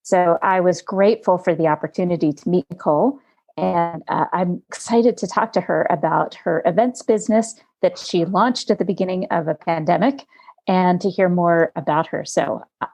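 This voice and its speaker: A woman with a lowish, mellow voice hosts an interview podcast. She talks in an easy conversational way and searches for her words with small fillers. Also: normal speed. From United States. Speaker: podcast host. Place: home studio in Montana.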